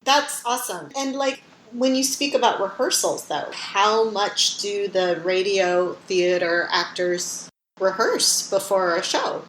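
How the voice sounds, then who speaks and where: A woman's voice: medium pitch, slow, fairly bright tone, low-quality recording. A woman hosting a podcast, asking questions in a casual interview style and listening attentively. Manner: attentive, frequent short acknowledgements. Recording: faint background noise.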